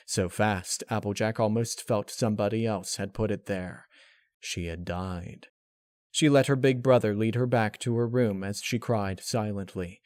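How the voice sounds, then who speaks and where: A man reading a dark fan-fiction story aloud as narrator, in a dramatic scripted style. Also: lowish voice, dry recording, studio quality.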